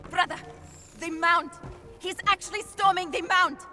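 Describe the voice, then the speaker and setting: An actress playing a passionate, headstrong young princess and scientist with an African accent, speaking urgently in a dramatic video game. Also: female voice, very high voice, fairly even intonation, normal speed. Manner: earnest, urgent. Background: faint game music.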